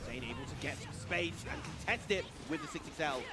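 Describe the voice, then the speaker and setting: A man's voice: a little high, brisk, loud music behind the voice. A man giving live commentary on a fighting game match as an esports caster.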